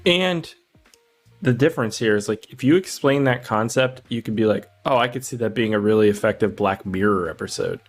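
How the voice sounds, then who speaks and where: A man's voice: lowish voice, fairly quick, soft background music. A man co-hosting a podcast, casually discussing film in a rambling way, often searching for words. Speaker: podcast co-host.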